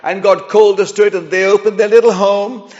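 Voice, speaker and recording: A man's voice: high-pitched, flat delivery, brisk, darkish tone. A man from Chile with an English accent, preaching a sermon as a visiting pastor in an earnest, conversational manner with emphatic swells. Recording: dull, echoey hall sound.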